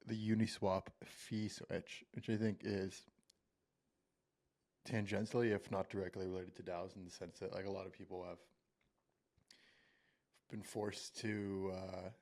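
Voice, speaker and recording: A man's voice: low-pitched, level tone, measured pace, pauses often, a little mellow. A man hosting a podcast, chatting casually and joking with his co-hosts before leading into the topic. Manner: loose, joking, leads the talk. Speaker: podcast host.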